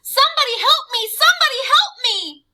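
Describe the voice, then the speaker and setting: A woman doing a shrill sobbing terrified boy. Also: expressive, moderate pace, sharp tone, exaggerated voice. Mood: panicked pleading. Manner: shrill, pleading, wailing.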